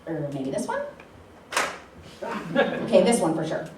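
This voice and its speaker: A woman's voice: lowish voice, huge pitch swings, unhurried. A woman playing Cindy, a plucky, scatterbrained and nervous pilot in a comic radio play performed live in a hall. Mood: flustered. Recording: roomy live hall, distant, noisy.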